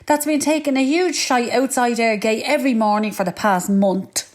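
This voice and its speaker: An actress playing a bossy, self-righteous Irish housewife with a Dublin accent in a comedy radio drama. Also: female voice, on the high side, normal speed, natural voice. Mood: defiant, bossy. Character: stubborn, secretive, self-righteous Irish housewife on a petty crusade.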